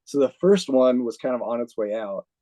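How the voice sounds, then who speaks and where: An American man, a retired army officer, telling stories as an interview guest in a chatty, laid-back way, full of "like" and quick laughs. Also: medium pitch, expressive, warm tone. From United States. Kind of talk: casual storytelling.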